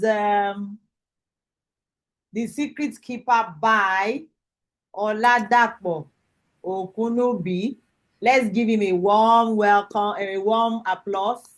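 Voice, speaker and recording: A woman informally hosting an online event in a halting, encouraging way. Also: mid-range voice, a bit bright. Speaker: host, course lead introducing student work. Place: online call.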